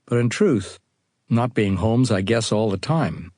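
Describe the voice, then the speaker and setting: American male audiobook narrator, low register, close dry studio sound, first-person account. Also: expressive, unhurried, a little mellow, no room sound. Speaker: author reading own audiobook.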